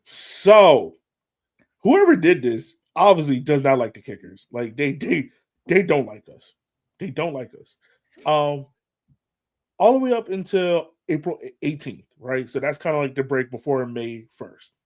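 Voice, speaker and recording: A man's voice: a little high, sing-song, unhurried. Man chatting as an emphatic sports podcaster. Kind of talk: casual sports fan chat.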